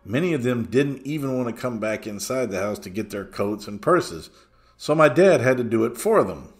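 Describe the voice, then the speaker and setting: A man's voice: mid-range voice, animated, moderate pace. A man reading a first-person true story as a scripted narration, in a storytelling style.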